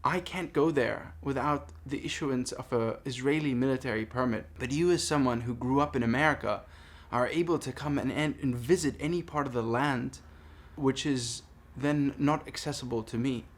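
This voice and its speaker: A man's voice: medium pitch, normal speed, few pauses. Earnest man in his thirties from Palestine. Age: 30s. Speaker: vlogger making a response video. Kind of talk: personal monologue addressed to camera.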